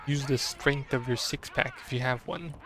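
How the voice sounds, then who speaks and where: A man's voice: mid-range voice, moderate pace, over music. A man casually commentating his own strategy game match, rambling with fillers, his voice strained and sore. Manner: rambling, many fillers and restarts. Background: game gunfire and explosions.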